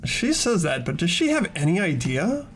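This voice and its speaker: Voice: medium pitch, sing-song, normal speed, a little hiss or hum, close to an everyday voice. A performer voicing an earnest young student protagonist who questions and narrates hesitantly in a fan-voiced visual novel. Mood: thoughtful. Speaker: voice actor in fan game playthrough. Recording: music under the voice.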